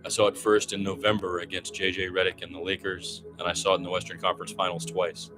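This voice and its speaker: A man's voice: sits low, level tone, quick pace, music in the background, rough recording. A man doing live radio basketball play-by-play in a conversational, opinionated, exclamatory way.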